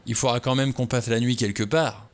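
A man's voice: mid-range voice, fairly even intonation, brisk, natural voice. A man reading an audiobook, voicing a stubborn, suspicious middle-aged man who talks bluntly. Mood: gruff.

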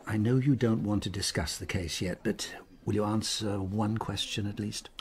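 An actor with an English accent playing a loyal, sceptical gentleman doctor in a radio drama, thoughtful and a little grumbling. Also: on the low side, steady tone, moderate pace, lightly acted. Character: loyal older gentleman doctor, sceptical, plain-spoken companion. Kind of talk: BBC radio drama acting.

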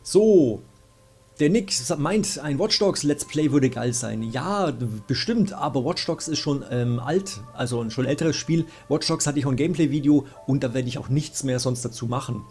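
A man's voice: mid-range voice, animated, normal speed, darkish tone, soft background music. A young man hosting a weekly game show, casually answering viewer questions and thinking aloud with plenty of fillers. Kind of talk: casual chat. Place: small room indoors, at a desk.

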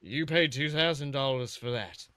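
Bewildered pirate captain, played by a male reader, hesitant and questioning, medium pitch, dry recording, comic storytelling. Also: normal speed, pushed and bright, no echo, natural voice. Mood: puzzled. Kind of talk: comedic fan fiction reading.

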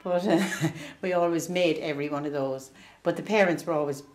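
A woman's voice: sits low, a little fast, darkish tone. An older woman reminiscing casually in an interview. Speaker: pantomime wardrobe mistress. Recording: roomy echo, clear music behind.

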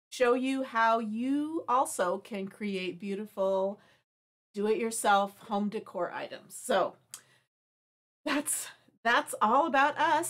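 A woman's voice: a little high, a bit bright. A middle-aged woman hosting a live craft demo from her home craft room, chatty and rambling, sniffling with allergies. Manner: chatty, friendly, rambling with restarts. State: stuffy nose, sniffling from allergies. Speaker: craft show host.

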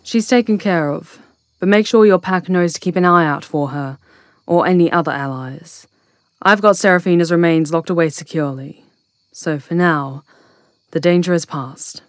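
A woman voicing an ancient, wry and wise witch in a fantasy ASMR roleplay, with measured, intimate, theatrical delivery. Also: on the low side, no echo, clean studio sound, natural voice. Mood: brisk.

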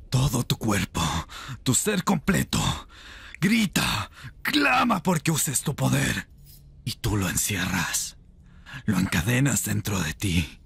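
A man acts out an imprisoned rebel mage for an ASMR roleplay. His voice is low and extremely breathy, with a gravelly rasp at the edges. He speaks intensely, seductive and tender at once. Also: fairly bright tone, full character voice. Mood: fervent, indignant.

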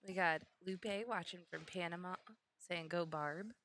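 Female bowling color commentator, chatty and laughing, bright edge to the voice, faint hiss behind. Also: fairly low pitch, a little slow. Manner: chatty, giggly, easily sidetracked. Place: commentary booth at a bowling center.

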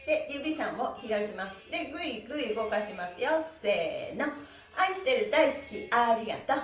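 High-pitched cheerful woman leading stretches. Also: moderate pace, recorded from a distance. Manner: cheerful, animated, playful, calling out instructions. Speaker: stretching instructor and host. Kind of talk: exercise lesson with rhythmic counting chants. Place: small indoor room with bare walls. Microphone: camera mic from a few steps away. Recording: echoey room, away from the mic.

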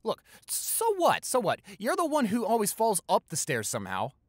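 A man's voice: high-pitched, wildly swooping pitch, moderate pace, no room sound, pitched above the performer's own voice, heavily acted. Roleplay audio boyfriend, acted by a man: young American, college student, playful, reassuring, hesitant, very dry close sound. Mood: mock defensive. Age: young adult. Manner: teasing, reassuring, hesitant stammers.

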